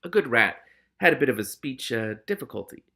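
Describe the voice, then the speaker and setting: A man's voice: mid-range voice, very animated, fairly quick, sharp tone, full character voice. A man voicing a small, earnest, melancholy male rat sage, polite and sincere, in a storybook. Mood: gentle, wistful.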